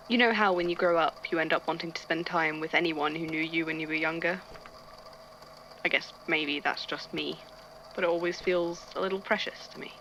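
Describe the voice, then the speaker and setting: A woman playing a testy male archivist who reads eerie witness statements aloud in an audio drama, in a measured storytelling delivery. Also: fairly low pitch, fairly bright tone, slight background noise, poor audio.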